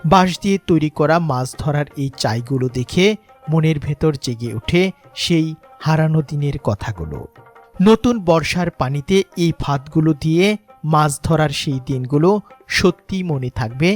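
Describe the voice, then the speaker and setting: A Bangladeshi man reading scripted, sentimental documentary narration in a measured, lyrical way. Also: a little high, expressive. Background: background music.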